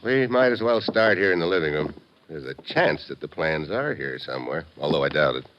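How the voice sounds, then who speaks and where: An actor playing a tough, wry American secret agent, hard-boiled and slangy, in 1950s radio drama style. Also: male voice, a bass voice, expressive, fairly quick, lo-fi sound, slight background noise. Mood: alert, curious.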